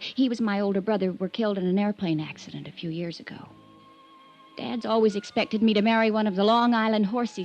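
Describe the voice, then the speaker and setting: Actress as a husky, troubled young heiress. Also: female voice, medium pitch, darkish tone, lightly acted. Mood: guarded, bitter. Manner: wistful, hesitant, confiding. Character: troubled, lovelorn young daughter of wealthy family. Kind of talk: 1950s radio drama acting. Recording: muffled vintage radio recording.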